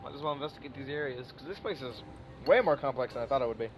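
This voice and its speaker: A man's voice: mid-range voice, rapid-fire, faint music behind. A man giving casual gameplay commentary as a streamer, thinking aloud in an informal, sweary way. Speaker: video game streamer.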